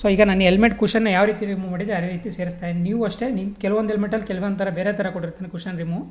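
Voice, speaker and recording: A woman's voice: medium pitch, fairly even intonation, racing, darkish tone. A woman giving a casual step-by-step tutorial, colloquial and mixing in English words. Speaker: vlogger demonstrating gear installation.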